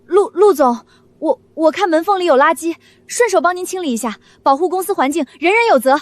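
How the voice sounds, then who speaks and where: A young woman playing a feisty, easily flustered office worker in an animated comedy, talking in a glib, excitable, indignant way. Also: extremely high pitch, a little fast, a little hiss or hum. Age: young adult. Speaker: office planner. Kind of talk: animated comedy drama acting.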